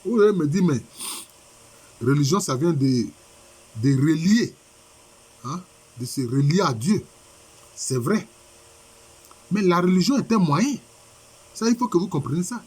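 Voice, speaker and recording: A man's voice: a little high, expressive, a little slow, pauses often, darkish tone, slightly rough. A man preaching a sermon by video conference while suffering from a head cold, repeating points and asking rhetorical questions. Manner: repetitive, emphatic, rhetorical questions.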